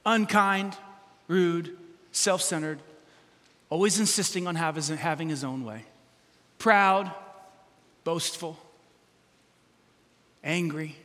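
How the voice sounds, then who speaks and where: A middle-aged man preaching in a large church hall, his high, bright voice carrying a long reverb. He tells stories about himself with animated, self-mocking energy and breaks into loud bursts. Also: slow pace, pauses often. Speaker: pastor. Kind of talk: sermon.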